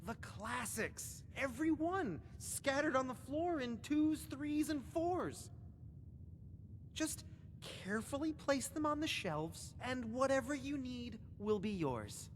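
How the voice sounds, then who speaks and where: Eccentric, sing-song, high-pitched librarian. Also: female voice, lively intonation, takes it slowly, big-room sound, a little hiss or hum. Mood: fussy, busy. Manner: rambling, sing-song rhymes and wordplay. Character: eccentric, scatterbrained librarian obsessed with books. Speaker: game character, librarian. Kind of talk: theatrical video game character acting.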